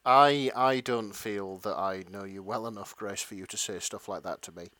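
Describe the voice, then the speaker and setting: A man's voice: fairly low pitch, normal speed, bright, forceful tone. A man co-hosting a podcast, chatting casually, laughing often and stumbling over his words. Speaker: podcast co-host. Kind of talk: casual chat.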